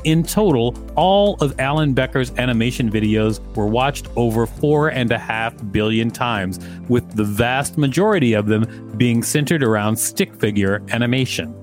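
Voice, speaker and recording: A man's voice: mid-range voice, expressive, moderate pace, soft background music. A man co-hosting a podcast, chatting eagerly and reading an encyclopedia article aloud. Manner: eager, easygoing. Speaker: podcast co-host. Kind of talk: casual reading and chat.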